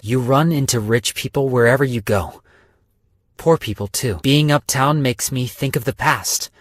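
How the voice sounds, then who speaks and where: A husky, world-weary stock broker. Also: male voice, mid-range voice, dry recording. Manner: calm, dry, mercenary and deadpan. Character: weary, cold-blooded businessman stock broker, male, adult. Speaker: game character voice lines. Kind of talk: studio game voice lines. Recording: dry studio recording.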